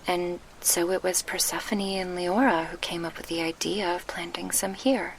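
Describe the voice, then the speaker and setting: A woman playing a gentle, wistful succubus guardian in a soft ASMR roleplay, speaking in formal, measured phrases with a breathy murmur, close to the mic. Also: on the low side, fairly even intonation, slight background noise, natural voice, rough recording. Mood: wistful, reflective. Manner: formal, measured, old-fashioned diction. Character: gentle, wistful female succubus guardian, grieving her lost past. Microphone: close binaural microphone.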